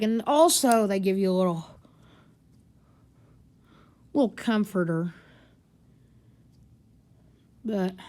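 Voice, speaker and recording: A mid-pitched voice talks through a hands-on gadget review. The delivery is informal and rambling, like someone chatting at home. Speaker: product reviewer. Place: carpeted home living room.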